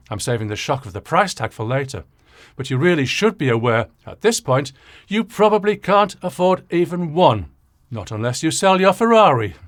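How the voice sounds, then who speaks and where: Older man, British accent, expressive rise and fall, wry teasing delivery, expert presenter, dry room-free recording. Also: medium pitch, no room sound. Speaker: presenter, professional audio engineer. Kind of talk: scripted explainer narration to audiophiles.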